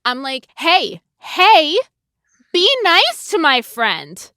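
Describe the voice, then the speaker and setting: A woman's voice: sits high, sing-song, drawn-out words, sharp tone, no echo, clean studio sound. A woman hosting a podcast, talking supportively and playfully in a casual conversation. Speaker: podcast host.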